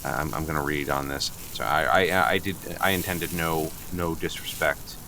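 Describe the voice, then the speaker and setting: An actor in an improvised comedy radio play playing a flustered, over-apologetic boyfriend who stammers, agrees readily and repeats himself. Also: male voice, very deep voice, fairly even intonation, moderate pace, noisy background. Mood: flustered apology.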